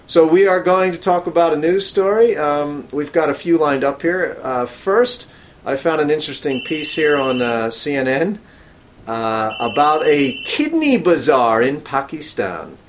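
A man hosting a podcast for English learners, speaking slowly and clearly with frequent fillers. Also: fairly high pitch, animated. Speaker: podcast host and English teacher.

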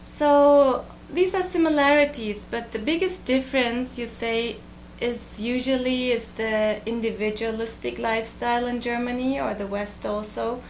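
A woman from Japan, a guest in an interview, speaking in a thoughtful, soft-spoken way with frequent pauses. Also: on the high side, steady tone, a little hiss or hum. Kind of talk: relaxed interview conversation.

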